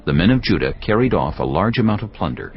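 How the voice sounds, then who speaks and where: Very deep, expressive male scripture narrator. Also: quick pace. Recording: dull lo-fi sound, music behind. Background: music.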